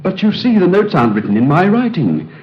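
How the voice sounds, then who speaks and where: A man's voice: high-pitched, big pitch swings, brisk. Through a muffled old radio recording comes a troubled English Egyptologist. He is earnest and formal, his agonized delivery rising and falling dramatically, in the manner of vintage radio drama. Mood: insistent, troubled. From England. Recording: dull, band-limited old radio recording.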